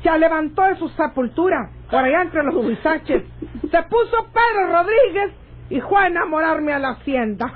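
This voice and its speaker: A woman's voice: extremely high pitch, animated, moderate pace, a little hiss or hum. An actress playing a stubborn, mocking rural woman in love, with a Mexican accent and a theatrical, scolding radionovela delivery. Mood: mocking, laughing. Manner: scolding, scoffing, theatrical, folksy. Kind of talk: radionovela acting. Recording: dull lo-fi old radio drama recording.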